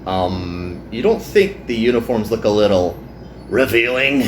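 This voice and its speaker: A man's voice: on the low side, unhurried, echoey room, slight background noise, natural voice. Man playing a weary, grumbling young anime hero.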